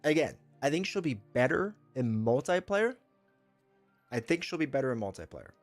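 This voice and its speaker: A man with a congested, stuffed-up voice hosts a casual live stream, talking to his viewers. His speech rambles and restarts, with blunt opinions. Soft music plays faintly behind him. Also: medium pitch, very animated, normal speed, no room sound. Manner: rambling, self-correcting, opinionated. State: stuffed up from a cold. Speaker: streamer host.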